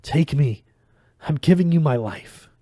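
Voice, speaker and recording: A man's voice: on the high side, animated, a little slow, warm tone, no echo, clean studio sound. A man voicing a badly wounded, dying magician in an audiobook, strained and pleading. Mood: pained pleading.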